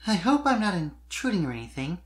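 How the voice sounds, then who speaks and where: A narrator voicing a sweet, shy young mare pony who speaks softly and a little hesitantly in a fan fiction reading. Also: mid-range voice, big pitch swings, a little fast, pitched above the performer's own voice, natural voice. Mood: cheerful, shy. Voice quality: soft voice.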